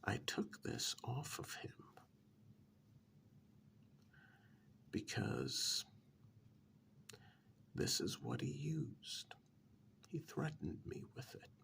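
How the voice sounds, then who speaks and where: Quietly threatening club boss played by a man, ex-military, soft-spoken ASMR delivery, very slow, swinging pitch, breathy and deliberate. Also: sing-song, exaggerated voice. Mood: grimly reminiscing. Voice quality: breathy half-whisper with gravelly creak. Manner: slow, deliberate, quietly menacing with drawn-out pauses. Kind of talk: soft-spoken ASMR role-play monologue.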